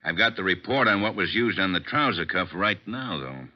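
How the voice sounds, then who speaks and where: A gruff police inspector in vintage radio drama. The voice is very low yet animated in pitch, heard on a dull old recording. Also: male voice, normal speed. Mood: businesslike. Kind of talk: 1940s-50s radio drama acting.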